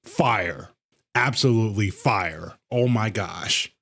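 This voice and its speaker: A man's voice: lowish voice, slow pace, fairly bright tone, a little hiss or hum. A man livestreaming a game, speaking casually as he reacts to the play. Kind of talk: casual live gameplay reaction.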